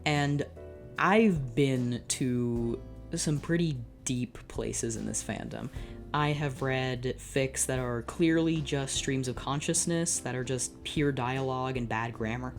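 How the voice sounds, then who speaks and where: A woman's voice: a bass voice, slow, faint music behind. A young woman reading a fan fiction aloud and breaking off into exasperated, sarcastic comments. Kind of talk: dramatic reading with comic asides.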